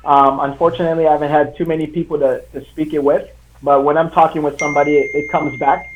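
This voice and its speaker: A young man from Congo, a native French speaker, talking as a guest author on a video call, earnest and halting. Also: on the high side, flat delivery. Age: young adult. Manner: earnest, halting, many fillers and restarts. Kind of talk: live online interview. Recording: tinny video call line.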